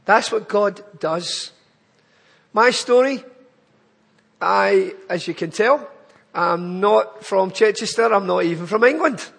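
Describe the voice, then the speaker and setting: A man's voice: high-pitched, slow pace, creaky, long pauses, a bit bright, in a large hall. A man with a Glaswegian accent giving an earnest, pleading charity appeal in church. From Scotland. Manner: earnest, pleading, building to emphatic lists.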